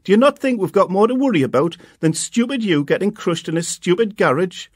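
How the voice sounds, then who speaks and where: A man voicing a worried, scolding mother who shouts at her son. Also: mid-range voice, animated, a little fast, breathy edge, no room sound, studio quality, voice pushed up.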